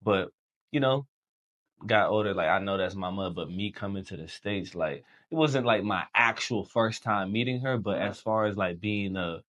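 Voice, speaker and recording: A young man from Haiti, a Creole speaker, telling his story as a podcast guest in relaxed slangy chat. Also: lowish voice, moderate pace, dull audio. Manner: relaxed storytelling, slangy, says bro often. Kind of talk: casual chat.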